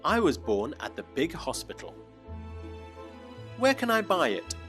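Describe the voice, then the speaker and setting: A man hosting an English lesson, giving scripted explanations and modeling phrases slowly for learners to repeat. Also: fairly high pitch, huge pitch swings, a bit bright, faint music behind. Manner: slow, clearly modeled phrases for repetition. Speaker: English teacher host.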